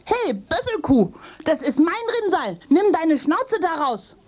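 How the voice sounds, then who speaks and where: A woman's voice: very high voice, big pitch swings, unhurried, a little mellow, pitched above the performer's own voice, exaggerated voice. A woman voicing a tiny, angry, bossy male silverfish in a fairy tale, indignant and cheeky.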